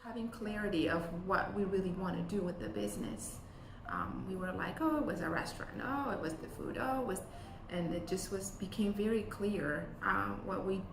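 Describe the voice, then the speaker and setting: A woman from Ethiopia, a business co-founder, talking earnestly in an interview, with many pauses and ums. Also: mid-range voice, vocal fry, background noise. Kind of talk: interview talk. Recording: roomy sound with music behind. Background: music.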